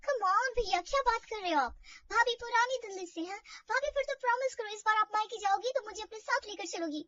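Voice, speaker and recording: A woman voicing an excitable, gushing, eager young sister-in-law in a family drama story. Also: extremely high pitch, fairly quick, dry recording, recorded from a distance, lo-fi sound, pitched above the performer's own voice. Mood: excited, gushing. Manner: gushing, exclamatory.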